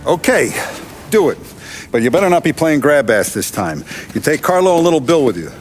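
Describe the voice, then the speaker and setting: An aging mob boss for a crime game, fatherly but commanding, slightly high voice that moves around, music under it. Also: sing-song. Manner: measured, commanding, paternal. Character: aging male mafia don, fatherly yet authoritative boss. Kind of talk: cinematic video game acting.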